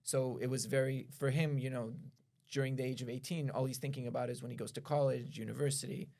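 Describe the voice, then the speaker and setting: A man from Israel, a guest on a podcast, explaining things at length in a measured way, pausing and correcting himself as he goes. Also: medium pitch, steady tone, vocal fry, mellow. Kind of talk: explanatory conversation.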